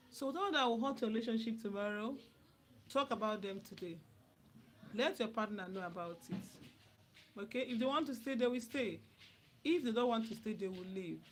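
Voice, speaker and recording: The sort of voice heard on an evening phone livestream from someone's room: a Nigerian woman, fairly high, directly addressing viewers and repeating herself over faint noise. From Anambra State, Nigeria. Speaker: livestream host giving relationship advice. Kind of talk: casual live chat, greeting viewers. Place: dim room at home.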